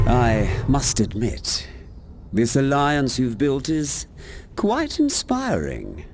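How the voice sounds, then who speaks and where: A male actor playing a smug, scheming supervillain in a video game, gloating in refined, drawn-out phrases. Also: mid-range voice, a little mellow, a little hiss or hum, heavily acted. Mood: amused, scheming. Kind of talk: video game villain acting.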